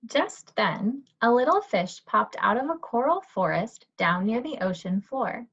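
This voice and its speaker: A woman's voice: fairly high pitch, sing-song, a little slow, fairly bright tone, slightly rough. A woman teacher reading a children's picture book aloud, on a dull, lo-fi recording. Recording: dull lo-fi audio.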